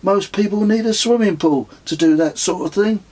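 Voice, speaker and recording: Audiobook role: man playing a deadpan nine-year-old boy, thoughtful, raised pitch, slightly husky. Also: moderate pace. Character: nine-year-old boy, calm, matter-of-fact son. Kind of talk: audiobook character dialogue.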